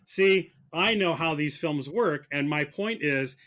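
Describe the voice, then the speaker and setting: Podcast mock prosecutor: male, slightly high-pitched, argumentative, stumbles and doubles back on his words. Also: fairly bright tone. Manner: argumentative, halting with repeated words. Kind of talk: comic debate on a film podcast.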